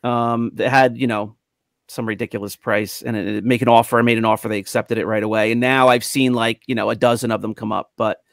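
A man's voice: medium pitch, level tone, a little fast, gravelly, fairly bright tone, no room sound. A middle-aged man talking casually as a panelist in a group chat about collecting, close to the microphone. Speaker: panelist on a toy collecting show. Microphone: large microphone up close.

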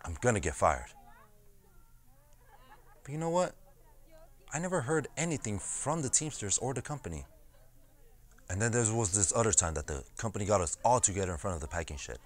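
A man's voice: fairly low pitch, big pitch swings, brisk, long pauses. A young man in his twenties playing a streetwise farmworker turned union organizer, telling his story conversationally in a dramatized oral-history monologue. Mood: animated, amused, then wry, recounting. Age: 20s. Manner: conversational storytelling with frequent "you know". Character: farmworker turned union organizer, streetwise and plain-spoken, recounting old struggles. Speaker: actor.

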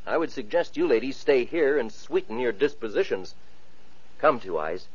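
A gallant young fairy tale prince, courtly and used to giving orders, with a slightly husky voice and a lively, swinging pitch. The old radio broadcast sounds muffled. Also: male voice, moderate pace. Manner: courtly and commanding. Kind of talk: 1940s radio acting.

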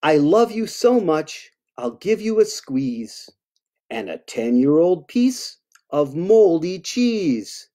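A man voicing an affectionate monster sweetheart in a picture book, in a slow, sing-song rhyming delivery. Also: fairly high pitch, sing-song, dark tone. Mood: doting.

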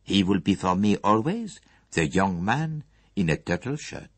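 A man voicing a courteous, shrewd foreign detective in an audiobook, with formal, measured, precise phrasing and a gently probing manner. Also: on the low side, big pitch swings, breathy edge, no echo, heavily acted. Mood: earnest, advising.

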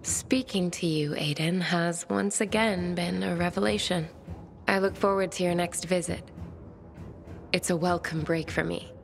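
A woman's voice: fairly low pitch, fairly even intonation, measured pace, vocal fry, soft background music. An actress playing a bookish, romantic and courteous woman survivor in a video game, speaking formally and thoughtfully. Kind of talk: video game cutscene dialogue.

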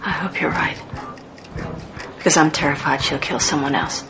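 A woman's voice: low-pitched, steady tone, moderate pace, gravelly, music in the background. A woman playing an anxious, protective mother under great strain in a radio drama, hesitant and emotional. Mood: fearful. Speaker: actor.

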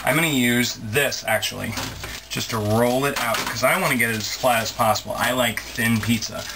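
A man's voice: mid-range voice, moderate pace, background noise. A man in his thirties giving a casual, self-mocking cooking demonstration in a small home kitchen, talking into a close camera mic. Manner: chatty, self-mocking, playful asides. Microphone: handheld camera mic, close.

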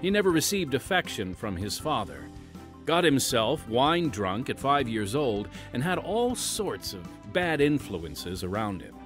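A man's voice: medium pitch, sing-song, measured pace, a bit bright. A man hosting as announcer, reading dramatic scripted radio narration with folksy, emphatic showmanship. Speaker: announcer and host. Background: music.

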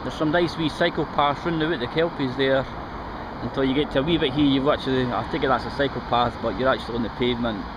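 A man's voice: medium pitch, fairly even intonation, fast talker. A middle-aged Scottish man vlogging casually as he cycles along canal paths and roadsides, chatting and pointing things out as he goes. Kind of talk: casual commentary while cycling. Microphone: action camera held or mounted on the bike. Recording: outdoor camera audio with traffic and wind.

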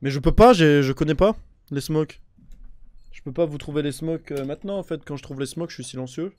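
A young man streaming a shooter game on a headset mic, chatting excitably with his viewers and swearing often. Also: fairly high pitch, brisk. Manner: excitable, swears a lot, talks to chat. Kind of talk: live gaming stream commentary. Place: indoor room with a gaming chair.

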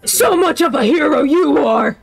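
A hot-tempered young anime hero, put on by a woman, scornful and commanding, with gravel in the voice and big swings in pitch. The delivery barks and sneers like a joke fan dub made over a game. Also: animated, normal speed, music in the background, low-quality recording. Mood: angry. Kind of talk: improvised joke fan dub while gaming.

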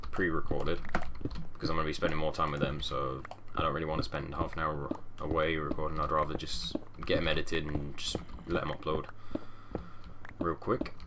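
A young man hosting a casual game let's-play, rambling and chatty through a headset, a little nasal and sniffly as he gets over a cold. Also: rumbling low. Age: young adult. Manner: rambling, chatty, mumbles asides. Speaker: gaming channel host. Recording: noisy home mic with keyboard clicks.

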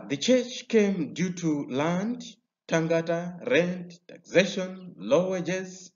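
A man's voice: mid-range voice, a little hiss or hum. Malawian man teaching a history lesson. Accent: Malawian English. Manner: explanatory, many "uh" fillers and restarts. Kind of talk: recorded video lesson over slides. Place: indoor room. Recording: slightly dull lo-fi sound.

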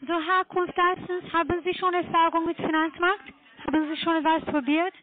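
A woman with a foreign accent giving a halting, formal sales pitch as a telemarketer on the phone. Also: little pitch movement. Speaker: telemarketing caller, trading broker. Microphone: telephone call. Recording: tinny narrow-band phone line.

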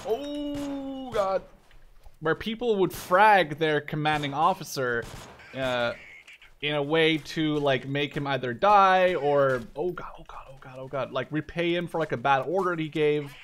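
A man in his twenties talks through a video game in a fairly high voice. His commentary is casual and rambling, with sentences that interrupt themselves and loose, sweary language. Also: fairly bright tone, slight background noise. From Canada or the Netherlands. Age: 20s. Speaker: gaming video creator.

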